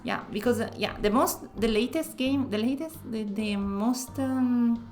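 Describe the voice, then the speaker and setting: Soft-spoken Italian woman streamer. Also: fairly high pitch, soft background music. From northern Italy. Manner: soft-spoken, searching for words with many pauses. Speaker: variety streamer. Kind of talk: casual gameplay commentary.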